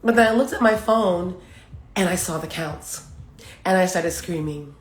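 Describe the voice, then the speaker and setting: A woman's voice: lowish voice, a little slow, slight background noise. A woman hosting a casual live chat in a playful, exuberant way. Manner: exuberant, playful, sing-song greetings. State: says she lost her voice that morning. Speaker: live show host. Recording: roomy echo, faint music.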